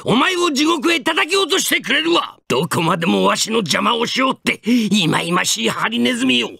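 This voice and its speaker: A man voicing a pompous, vengeful older mad scientist villain in a video game, raspy and grandiose with menace. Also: extremely high pitch, sing-song, racing, pushed and bright, dry recording, clean studio sound, full character voice. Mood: vengeful, menacing. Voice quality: raspy, gravelly.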